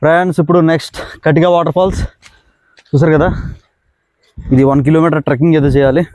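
A young man, chatting casually and excitably to camera as a travel vlogger, in slangy, repetitive phrases. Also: fairly high pitch, fast, no echo. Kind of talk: casual vlog chat to camera. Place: outdoors on forest trail and by waterfalls. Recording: outdoor handheld recording with background noise.

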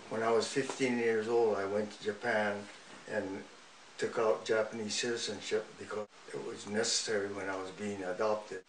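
An older man speaks in a low, even voice, pausing often as he recounts his memories. He is recorded from a distance in a roomy living room with a faint hiss behind him. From United States. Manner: measured, halting storytelling with frequent pauses. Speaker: interviewee. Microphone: far camera.

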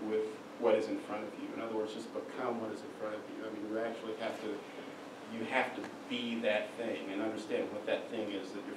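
Oklahoma man giving a halting slide talk, mic far off in a roomy hall. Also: fairly low pitch. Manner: halting, many fillers and restarts. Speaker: lecturer. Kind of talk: slide lecture. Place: darkened lecture hall. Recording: echoey room from far off, noisy.

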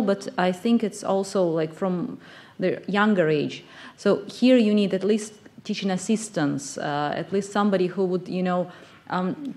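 Woman in audience, soft hesitant question, lecture hall. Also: medium pitch. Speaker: audience member. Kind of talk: question from the floor.

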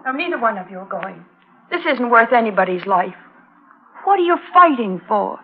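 A woman's voice: on the high side, very animated, normal speed, husky, heavily acted. An actress playing an anxious, pleading homesteader wife and mother in an earnest old-time radio drama style. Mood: distraught, pleading. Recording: muffled, slightly noisy vintage radio recording.

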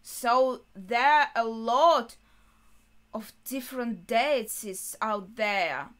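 A woman's voice: on the high side, extremely slow, a bit bright. A young adult woman giving casual reaction commentary as a video host. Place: small bedroom, indoors.

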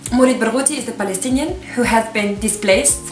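A woman in her twenties, a native Arabic speaker, casually recommending books to camera in an expressive, gushing, emphatic manner. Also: fairly high pitch, fairly quick, faint music behind. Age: 20s. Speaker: book reviewer and vlogger. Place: small furnished room with books, indoors. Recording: echoey room, distant camera microphone.

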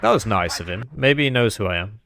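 Low, gravelly man commentating on a game. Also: animated, fairly quick, a bit bright. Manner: rambling, self-deprecating. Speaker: gamer commentating on own strategy match. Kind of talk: casual gameplay commentary.

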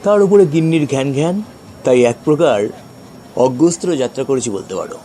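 Lively, mellow male storyteller in long reverb. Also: mid-range voice, a little fast, slight background noise. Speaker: story reader. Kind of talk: dramatic scripted first-person narration. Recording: long reverb, faint music behind.

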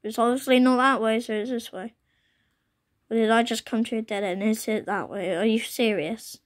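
A young woman with a croaky voice chatting casually as she plays a computer game, recorded on a phone she holds up to her laptop screen at home. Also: on the high side, steady tone, moderate pace. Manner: chatty, exclaims often. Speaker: gaming channel host. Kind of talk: casual gameplay commentary. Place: indoors at home, rain outside. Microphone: phone held while filming a laptop screen.

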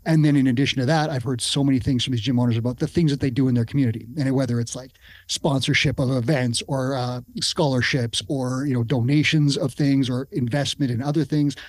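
A middle-aged man hosts a business podcast, talking in a measured, conversational way. His voice is recorded dry and close on a studio microphone, with no room sound around it. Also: mid-range voice, steady tone, dry recording. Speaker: podcast host. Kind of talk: casual podcast interview. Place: home studio with shelves. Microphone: studio podcast mic with headphones.

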